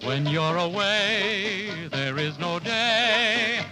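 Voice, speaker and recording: High male singer, slow romantic ballad. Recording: old radio broadcast with orchestra. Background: orchestral music.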